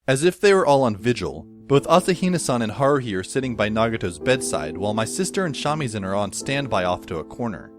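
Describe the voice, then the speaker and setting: A man playing a wry, introspective schoolboy who narrates an audio drama in a dry, measured, literary way. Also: medium pitch, sing-song, music in the background, lightly acted. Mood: calm, reflective. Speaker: narrator and lead character. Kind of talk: first-person scripted audio drama narration.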